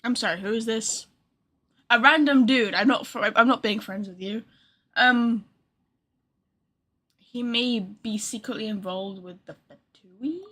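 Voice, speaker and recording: A woman's voice: fairly high pitch, a little slow, long pauses, sharp tone. A woman livestreamer chatting casually and reacting to a game in an informal, teasing way. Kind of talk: casual stream chat, reacting to game dialogue.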